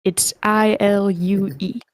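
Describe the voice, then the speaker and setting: A woman's voice: medium pitch, fairly even intonation, slow pace. A woman hosting an online table read, talking casually and informally as she organizes the cast. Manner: informal, chatty. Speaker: host of online script table reads.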